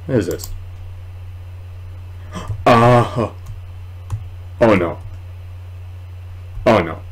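A young man giving casual, excitable commentary while playing a horror game, muttering and exclaiming to himself. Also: medium pitch, slightly rough, poor audio. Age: young adult. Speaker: gamer recording a let's play. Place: small indoor room at home.

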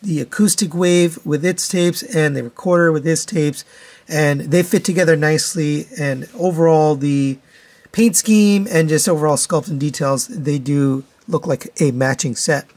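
A man's voice: fairly high pitch, moderate pace, darkish tone. A man giving a casual product review as a hands-on toy reviewer, talking in a methodical, matter-of-fact way.

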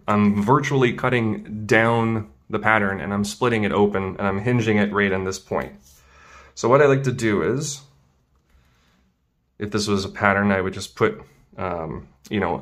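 A man teaching as a tailoring instructor in a casual hands-on demonstration, informal and thinking aloud as he works. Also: lowish voice. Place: indoors at a work table.

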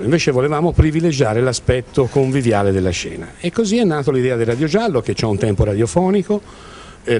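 A man's voice: medium pitch, mellow. Man in his fifties, noisy room. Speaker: theatre company member being interviewed. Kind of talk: informal interview answers. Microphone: handheld interview microphone. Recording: clearly noisy room ambience.

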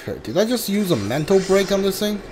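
A man chatting casually on a live game stream, halting and often repeating himself as he talks. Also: sits high, sing-song, darkish tone.

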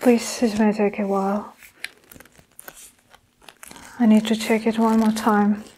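A woman playing a soft, even-toned ASMR nurse. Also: mid-range voice, a little hiss or hum, close to an everyday voice, rough recording. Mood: grave, reassuring. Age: young adult. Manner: soft, measured, reassuring, often whispering. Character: sinister female nurse-doctor calmly reassuring a patient. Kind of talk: ASMR roleplay. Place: small indoor room with curtain backdrop.

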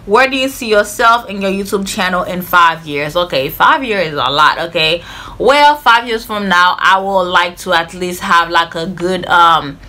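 A woman's voice: lowish voice, bright, forceful tone. A young woman in her twenties with a Haitian accent, chatting earnestly to camera in her bedroom with lots of "like" fillers. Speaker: vlogger. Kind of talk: casual chat to camera. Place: bedroom, indoors.

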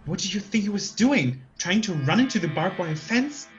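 An actor playing a folksy, easily spooked young hitchhiker in an old-fashioned radio play, slangy and colloquial. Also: male voice, high voice, sing-song, moderate pace, lo-fi sound, faint music behind. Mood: baffled, uneasy.

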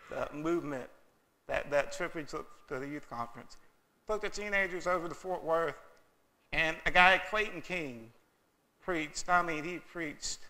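Bright-voiced preacher, emphatic. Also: male voice, on the high side. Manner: earnest, emphatic preaching with quoted asides. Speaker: pastor. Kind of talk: sermon. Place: church sanctuary.

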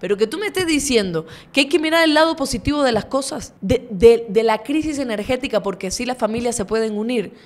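This is a woman with a fairly high voice, hosting opinionated commentary. She speaks straight into a close microphone. Her delivery is indignant and emphatic, with a thick vein of sarcasm. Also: expressive, brisk, hardly any pauses. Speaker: host. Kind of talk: opinionated political commentary to camera. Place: small furnished indoor studio. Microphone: desk condenser microphone, close.

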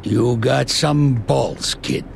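A man voicing a wary, seasoned gangster in a video game, blunt and gruff in his delivery. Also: mid-range voice, very slow, a little hiss or hum, heavily acted. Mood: worried, disapproving. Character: wary seasoned male gangster, advisor to boss.

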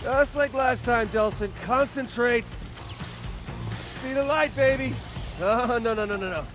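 An actor voicing a brash, cocky young superhero in a video game, slangy and excitable. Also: male voice, extremely high pitch, takes it slowly. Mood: cocky, frustrated. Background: loud music and game effects.

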